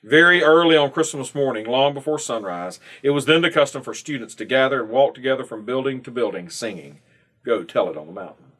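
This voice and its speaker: A man reading a scripted church devotional aloud in a measured, formal way, pausing between phrases. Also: medium pitch, fairly bright tone. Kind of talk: scripted narration, read aloud.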